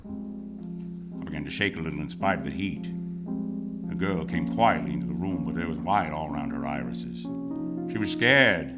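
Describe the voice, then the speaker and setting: A man's voice: extremely low pitch, normal speed, long pauses, over loud music. An actor with an American accent playing a tough, wisecracking hardboiled hero who tells his story in a noir radio drama, wry and terse. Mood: tense narration. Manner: wry, terse, world-weary. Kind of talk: first-person noir radio drama acting.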